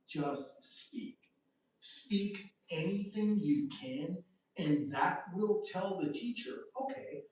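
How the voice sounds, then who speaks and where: This is a middle-aged man with a Canadian accent, speaking as an English teacher in a live Q&A. He sounds upbeat and encouraging, with lots of "uh"s, and his voice sits a bit high for a man. The recording is boomy and roomy, and he sounds set back from the mic. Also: a little mellow. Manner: upbeat, encouraging, chatty with many fillers. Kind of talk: live-stream Q&A and promotion. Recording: echoey room, voice heard from a distance.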